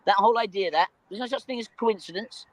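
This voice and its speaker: Male discussion participant, slightly high voice, earnest and emphatic, conversational, trips and repeats words. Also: fast, a bit bright, rough recording. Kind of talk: casual spiritual discussion.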